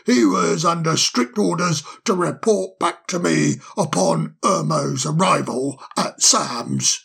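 A man's voice: a little high, slow pace, creaky, hardly any pauses, a bit bright, slightly rough, exaggerated voice. A man voicing a timid, flustered male owl who stammers and apologizes in a storybook reading. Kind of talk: storybook audiobook character voice.

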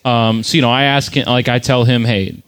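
A man's voice: mid-range voice, steady tone, fairly quick, fairly bright tone, clean tone, no echo. A man casually telling a long story, rambling, swearing freely and filling his speech with "like". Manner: rambling, profane, lots of "like" fillers.

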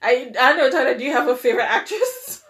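Playful woman podcast host, lively pitch. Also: fast talker. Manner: playful, teasing, laughs often. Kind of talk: casual chat, quiz game.

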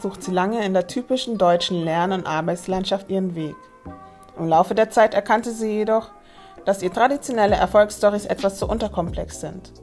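A female presenter delivers scripted narration to introduce a guest. Her voice sits at an average pitch, with faint music running behind it. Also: a little mellow.

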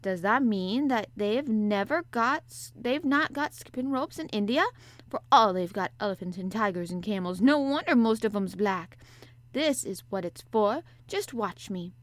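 An excitable young country maid, acted out by a woman reading a storybook, with a Yorkshire accent and a cheerful temper. She chatters freely and bursts into exclamations. The sound is close and dry. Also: mid-range voice, moderate pace, no room sound, close to an everyday voice. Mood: astonished, eager. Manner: exclamatory, chatty, dialect-speaking.

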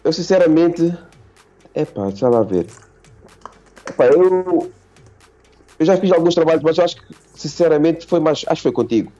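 A man's voice: on the high side, normal speed, pauses often, lo-fi sound, faint music behind. A man from Portugal, a beat producer rambling casually in a livestream interview and saying yeah a lot. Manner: relaxed, rambling, says yeah often.

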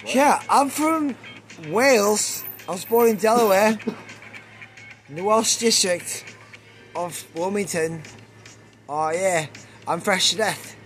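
A man's voice: sits high, expressive, slow, long pauses, fairly bright tone. A man in his thirties, rambling and crude, joking and boasting about his music on a city street. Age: 30s. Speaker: street musician being interviewed. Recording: music playing behind.

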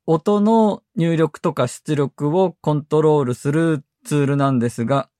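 A man hosting a tech podcast, explaining software methodically, step by step. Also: fairly high pitch, a little slow, dry recording, studio quality. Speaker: podcast host.

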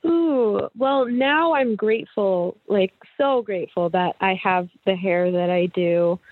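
A woman's voice: mid-range voice, slow, dry recording. A young woman interview guest, talking in a hesitant, rambling way with many restarts. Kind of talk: casual interview chat. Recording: dry, tinny phone-like line.

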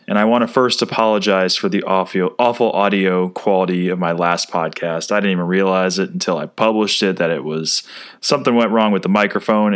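A man's voice: low-pitched, fairly bright tone. A man, hosting a casual solo sports podcast, chatty and rambling, with frequent fillers and restarts. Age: 30s.

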